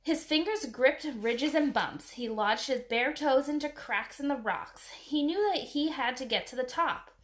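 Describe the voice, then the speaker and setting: Higher-pitched woman reading a children's book. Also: a little slow, few pauses, a little hiss or hum. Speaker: storybook reader. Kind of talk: children's book read aloud.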